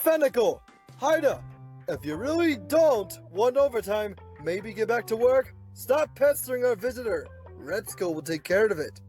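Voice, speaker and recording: A man voicing a stern, officious office supervisor who scolds bossily in an animated comic dub. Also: high-pitched, normal speed, soft background music. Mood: scolding. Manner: scolding, bossy.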